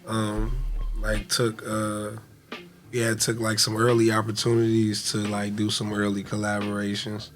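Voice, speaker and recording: Flat, low-voiced male rapper. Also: unhurried. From Detroit. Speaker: rapper guest.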